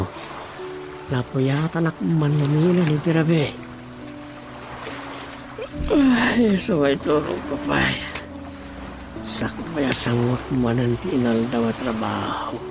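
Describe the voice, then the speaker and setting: A man's voice: fairly high pitch, sing-song, slow, vocal fry, long pauses, full character voice. A man with an Ilocano accent playing a folksy, chatty village elder in a radio comedy-drama. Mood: drowsy grumbling. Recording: music behind the voice.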